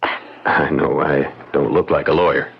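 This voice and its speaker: A young frontier lawyer with a deep voice. He is polite, wry and humble in a folksy way. The vintage radio drama recording is a little dull. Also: steady tone, unhurried, gravelly, slightly rough, heavily acted. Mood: courteous, wry. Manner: folksy, polite, self-deprecating. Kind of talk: old-time radio drama acting.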